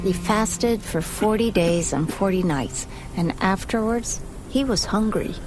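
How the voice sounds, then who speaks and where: A woman giving expressive, measured scripted scripture narration for an audio drama. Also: mid-range voice, over music, low-quality recording.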